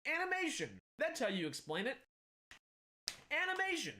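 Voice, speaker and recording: A man in his thirties with a slight Texan accent, hosting casual film news commentary in an animated, rambling, emphatic way. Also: extremely high pitch, moderate pace. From Texas. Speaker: film news show host.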